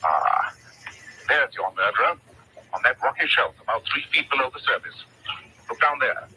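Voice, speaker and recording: An actor playing a grave, authoritative detective in a measured 1940s radio drama style. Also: male voice, mid-range voice, very animated, lightly acted. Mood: ominous. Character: grave, authoritative male detective explaining a deadly killer. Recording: old radio recording, faint noise.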